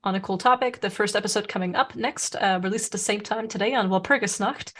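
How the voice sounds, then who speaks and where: A woman's voice: mid-range voice, fast talker, a bit bright. A woman whose first language is Serbo-Croatian, co-hosting a casual podcast, talking in a chatty way with many fillers and restarts. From Serbia. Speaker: podcast co-host.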